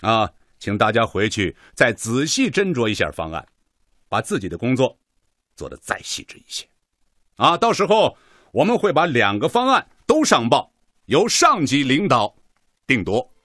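An actor playing a measured, authoritative official in a radio drama, chairing a technical meeting with unhurried, probing questions. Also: male voice, mid-range voice, huge pitch swings, a bit bright, no echo, clean studio sound.

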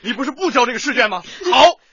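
A young male tutor in a slightly muffled radio drama recording. His voice is very husky and forceful, swinging widely in pitch. He is emphatic and schoolmasterly, stern but soft-hearted. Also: animated, quick pace, no echo, full character voice. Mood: angry, shouting.

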